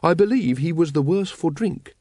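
A man's voice: a little high, expressive, moderate pace, darkish tone, dry recording, clean studio sound, pitched above the performer's own voice. A man voicing a naive, earnest young man reading out his letter home, literal-minded, in an audiobook.

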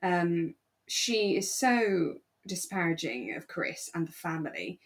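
A British woman co-hosting a podcast, talking casually in an animated, emphatic way. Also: fairly low pitch, takes it slowly. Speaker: podcast co-host. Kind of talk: casual chat.

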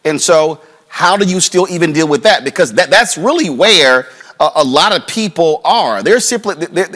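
A man with a moderately high voice hosts a political panel. He talks conversationally, working haltingly toward probing points as he steers the discussion. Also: a bit bright.

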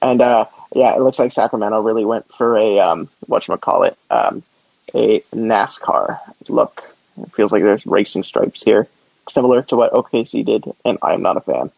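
A man's voice: on the low side, normal speed, vocal fry, breathy edge, dry recording. Dry, muffled man co-hosting a podcast. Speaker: podcast co-host. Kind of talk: casual, opinionated sports chat. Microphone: remote call.

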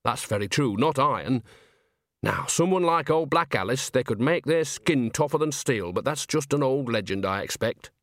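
A man's voice: medium pitch, lively intonation, moderate pace, a bit bright, no echo, clean studio sound. A man voicing a chatty, homely, cheeky old woman witch in an audiobook, folksy and rambling. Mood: chatty, sly. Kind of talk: audiobook character acting.

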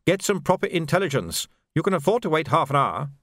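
A man voicing a cautious army captain who gives measured, dry advice. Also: a little high, very animated, a bit bright, no echo, pitched above the performer's own voice, full character voice.

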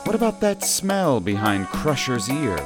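Female serial announcer: dramatic, lively intonation, loud music underneath. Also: extremely low pitch, a little slow. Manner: dramatic, emphatic. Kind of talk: old-time radio serial narration.

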